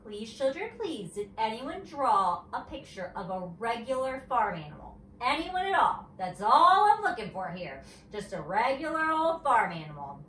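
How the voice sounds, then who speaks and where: A woman's voice: fairly high pitch, sing-song, moderate pace, few pauses, fairly bright tone, reverberant, far from the mic, a little hiss or hum, low-quality recording. A woman voicing a patient but exasperated female schoolteacher in a storybook, coaxing politely with strained patience.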